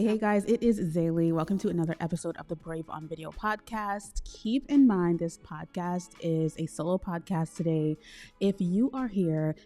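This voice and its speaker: A woman from Trinidad hosting a solo podcast, talking in a chatty, expressive way with slangy asides. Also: on the low side. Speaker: podcast host. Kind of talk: solo podcast, casual self-reflection.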